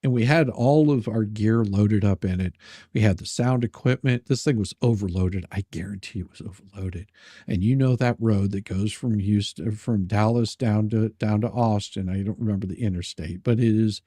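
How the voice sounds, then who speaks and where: A man with a dark, low-leaning voice tells stories in casual conversation. He speaks haltingly, circling back and repeating words as he rambles along. The sound is dry and close, without room echo. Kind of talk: casual chat, telling personal anecdotes.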